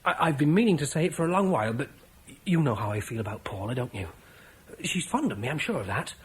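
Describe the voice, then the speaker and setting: A man's voice: medium pitch, big pitch swings, fast talker, slightly rough. An actor playing a cheerful, brash young soldier in a classic radio drama, laughing as he tells of a fight. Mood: earnest. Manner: boisterous, laughing storyteller. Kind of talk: 1950s radio drama acting.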